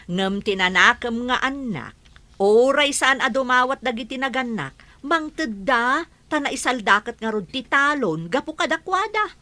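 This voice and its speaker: An opinionated village gossip played by a woman in a radio comedy. Her voice is bright, fairly high and very animated. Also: unhurried, no room sound, heavily acted. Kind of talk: Ilocano radio comedy drama acting.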